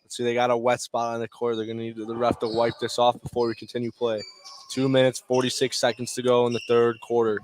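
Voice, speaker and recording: A man doing live play-by-play basketball commentary in clipped phrases, with excitable calls on big plays. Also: mid-range voice, fast talker, a little hiss or hum. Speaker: play-by-play sports announcer. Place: courtside at a basketball game.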